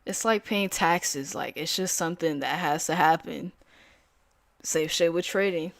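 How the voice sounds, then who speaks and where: A woman's voice: fairly low pitch, measured pace, no echo. Casual young woman day trader. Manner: casual, filler-heavy, slangy. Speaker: day trader streaming live. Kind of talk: casual live-stream chat.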